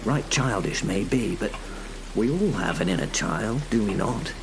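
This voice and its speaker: A man's voice: lowish voice, lively intonation, a little slow, gravelly, noisy background. A man narrating a horror audio drama in the first person, brooding and dramatic.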